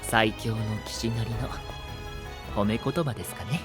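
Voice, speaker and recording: A man's voice: mid-range voice, measured pace, close to an everyday voice. A man voicing a courteous, analytical young male knight in an anime voice drama, speaking in polite, formal phrasing. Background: music.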